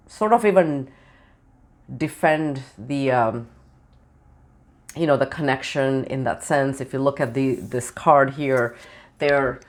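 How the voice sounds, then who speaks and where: A tarot reader recovering from the flu, voice changed by it, giving a channeled reading to camera and rambling with many fillers. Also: mid-range voice. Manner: meandering, with many "you know" and "um" fillers.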